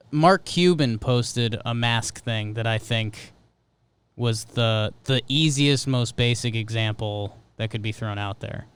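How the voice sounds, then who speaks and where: A man with a smooth, clean voice of medium pitch talks as a podcast co-host. His delivery is casual, conversational banter.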